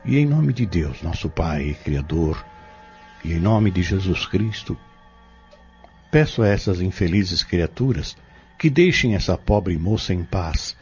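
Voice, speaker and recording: An actor playing a kindly, solemn pharmacist and healer in a Brazilian audio drama, speaking in formal, measured, preacherly phrases with a Brazilian accent. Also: male voice, sits low, very animated, a little mellow, exaggerated voice. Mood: solemn pleading. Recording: muffled, dull lo-fi recording.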